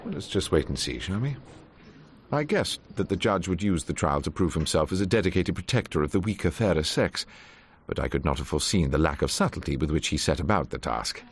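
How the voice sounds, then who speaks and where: An actor playing a wry, shrewd English defence barrister in a radio drama, with dry, measured delivery. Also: male voice, low-pitched, lively intonation, breathy edge, exaggerated voice. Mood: wry narration. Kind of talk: radio drama acting and first-person narration.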